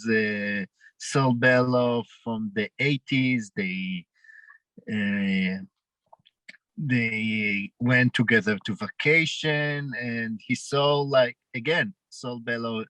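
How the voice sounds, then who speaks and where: Slow-talking Israeli man, bright voice. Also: mid-range voice, no room sound, low-quality recording. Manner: halting, searching for words. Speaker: documentary director. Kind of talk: festival Q&A interview.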